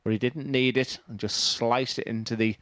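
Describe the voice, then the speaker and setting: A man's voice: mid-range voice, fairly even intonation, moderate pace, a bit bright, no room sound, clean studio sound. A man with a Dutch accent giving live darts commentary in a plain-spoken, analytical way.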